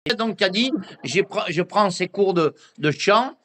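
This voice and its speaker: A man hosting a radio interview, asking questions in a halting way that repeats words. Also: high-pitched, lively intonation, a bit bright. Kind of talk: casual interview.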